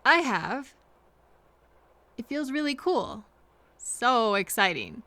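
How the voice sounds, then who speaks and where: A woman acting as a kind female train passenger in an intimate audio roleplay. Her voice is high and soft, and she takes her time, hesitant and reassuring, leaving pauses for replies. The sound is close and dry. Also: sing-song, no room sound, exaggerated voice. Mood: soothing. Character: kind, apologetic woman train passenger comforting a frightened stranger.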